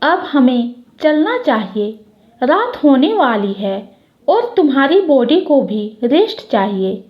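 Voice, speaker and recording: A woman's voice: high-pitched, very animated, slow pace, muffled recording, heavily acted. A woman voicing a caring, hot-tempered young man in a dramatic story, with an emphatic, protective manner. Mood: gently urging. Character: caring, hot-tempered young male doctor, devoted brother.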